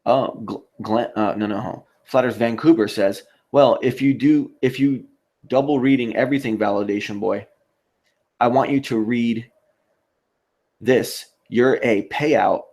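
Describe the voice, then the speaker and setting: A man hosting a casual livestream, reading out chat comments and replying in a rambling, halting way. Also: mid-range voice, darkish tone. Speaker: live stream host.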